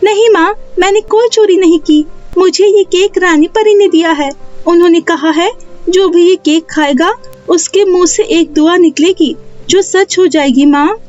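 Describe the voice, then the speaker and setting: A woman's voice: very high-pitched, a little slow, darkish tone, highs cut off, soft background music, voice pushed up. A woman voicing an earnest, childlike poor little girl in a children's story. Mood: earnest explaining.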